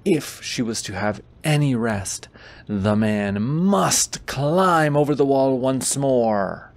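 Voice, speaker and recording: A man's voice: medium pitch, lively intonation, slow. A man in a boyfriend audio roleplay, reading a bedtime story aloud with goofy, teasing casual asides.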